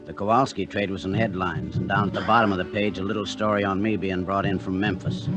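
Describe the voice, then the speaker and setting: A low American male voice, an actor as an earnest young rookie ballplayer who is quick to bristle. The delivery is classic 1940s radio drama on an old recording, with music clearly behind it. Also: fairly even intonation, natural voice. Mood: recounting, tense. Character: earnest, touchy young rookie baseball catcher. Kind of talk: 1940s radio acting, first-person narration and dialogue.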